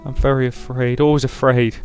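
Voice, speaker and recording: A man voicing a frightened, submissive young girl in a visual novel, timid, halting and pleading. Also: medium pitch, little pitch movement, pitched above the performer's own voice, natural voice. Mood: timid, obedient. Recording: music behind the voice.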